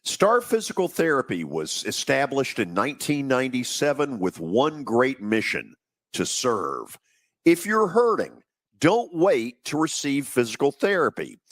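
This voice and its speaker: An American man hosting a radio show, speaking in the manner of sports talk and sponsor reads, with a husky voice. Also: medium pitch, sing-song, measured pace, dry recording, studio quality.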